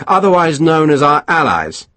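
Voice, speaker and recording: Man voicing a terse, even-toned lieutenant. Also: a little high, moderate pace, no room sound, pitched above the performer's own voice. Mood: guarded. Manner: even, clipped, quietly insolent. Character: terse, level-voiced male army lieutenant. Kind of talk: audiobook character voice.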